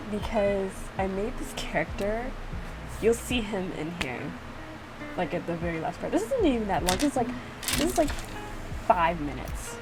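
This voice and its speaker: A woman chatting casually in a playful, self-mocking way, like a YouTuber doing a reaction video. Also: mid-range voice. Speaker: YouTuber reacting to own visual novel. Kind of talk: casual rambling reaction commentary. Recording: clearly noisy room recording.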